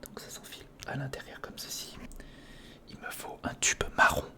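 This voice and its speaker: A man speaks in a breathy whisper close to the microphone, murmuring casual hands-on ASMR commentary in fragments, as if thinking aloud. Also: moderate pace. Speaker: ASMR video creator. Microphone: close recorder microphone.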